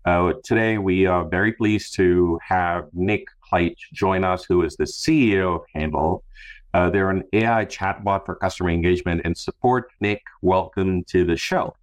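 A male podcast host with a low voice. He speaks in a conversational way, as one does when introducing topics and putting questions to a guest. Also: normal speed. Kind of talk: conversational interview hosting.

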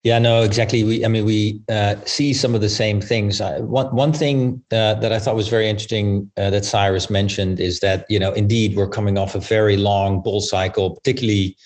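A man giving considered business answers as an interview guest, explaining at length with pauses. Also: fairly low pitch, flat delivery, darkish tone. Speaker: investment firm partner, podcast guest.

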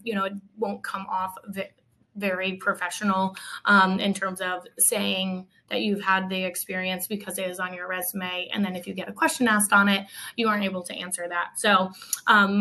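Bright-voiced woman, even-toned recruiter. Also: medium pitch, poor audio. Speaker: recruiter and panel guest giving job-seeker advice. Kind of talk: unscripted advisory panel talk.

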